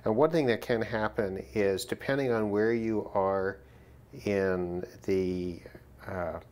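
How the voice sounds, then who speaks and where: A man giving an informal hands-on gear demonstration, thinking aloud in a measured way as he goes. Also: fairly low pitch, steady tone, a little mellow. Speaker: hobbyist demonstrating a shortwave radio test. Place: indoors at a work desk.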